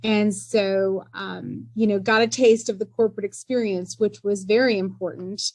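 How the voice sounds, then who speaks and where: A middle-aged woman speaks as an entrepreneur guest in a podcast interview. She is earnest and measured, with frequent fillers like "you know" and "um". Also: medium pitch, steady tone, darkish tone, poor audio.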